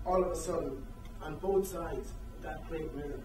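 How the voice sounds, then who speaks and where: A man playing an earnest young man in love, reading a reflective stage monologue in measured, deliberate phrases. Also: a little high, background noise, lightly acted. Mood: musing. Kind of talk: amateur dramatic reading of a poetic monologue. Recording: echoey room, distant mic, music behind.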